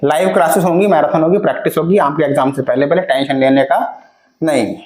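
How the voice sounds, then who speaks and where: Echoey, slow-reading young male math teacher. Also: a little high, fairly even intonation, darkish tone. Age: 20s. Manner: addresses students directly, reads word problems slowly word by word. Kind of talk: exam-prep video lesson.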